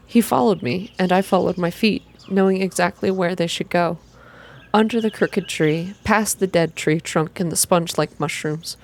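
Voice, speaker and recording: A woman reading a story aloud in a soft-spoken, measured, atmospheric ASMR style. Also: lowish voice. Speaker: reader of a video game story.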